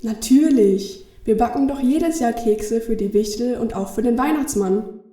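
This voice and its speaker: A woman's voice: a little high, unhurried, mellow, roomy sound, close to an everyday voice. A woman playing a curious, plucky six-year-old girl with an eager, exclaiming manner in a children's radio play. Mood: curious, amazed.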